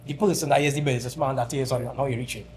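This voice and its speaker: A man's voice: medium pitch, fairly even intonation, darkish tone. A man hosting an interview, hyping and prompting in slangy casual talk. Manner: hype, slangy, quick prompts. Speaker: podcast host.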